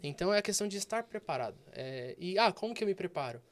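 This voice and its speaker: A man, a guest banker sharing stories in a casual interview with animated delivery and frequent fillers. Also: sits high, quick pace.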